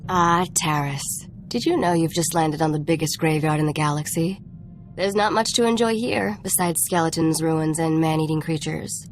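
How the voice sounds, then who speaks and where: A woman's voice: low voice, moderate pace, soft background music. An actress playing a tough, haughty woman smuggler in a video game, dry, sarcastic and self-assured.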